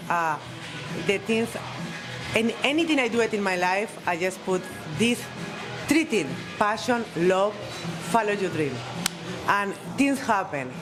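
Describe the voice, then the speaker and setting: Panel guest, woman, earnest, heartfelt, non-native phrasing, music clear behind her. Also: mid-range voice. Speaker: guest, cigar company CEO. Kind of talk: casual panel speech. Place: cigar bar, live event. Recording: loud music in the background.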